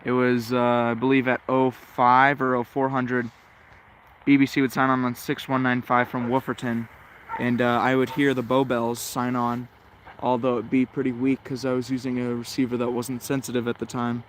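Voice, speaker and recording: A man with a flat, even delivery talks casually as a hobbyist at his radio. He rambles hesitantly and corrects himself as he goes. There is faint background noise on the recording. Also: medium pitch, normal speed. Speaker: shortwave radio hobbyist narrating a tuning session. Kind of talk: casual off-the-cuff commentary.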